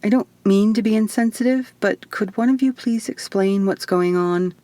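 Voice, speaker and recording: A woman's voice: medium pitch, normal speed, mellow, dry recording, voice pushed up, close to an everyday voice. A woman voicing a sarcastic, skeptical young woman stranded on an alien planet, in flippant audiobook dialogue. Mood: puzzled, polite. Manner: flippant, casual.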